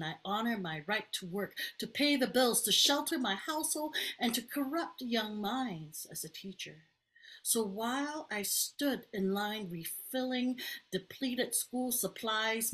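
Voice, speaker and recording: A middle-aged woman reading her poetry in an expressive spoken-word style with deliberate pauses. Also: medium pitch. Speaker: poet, teacher. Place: bedroom indoors. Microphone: video call, desk distance.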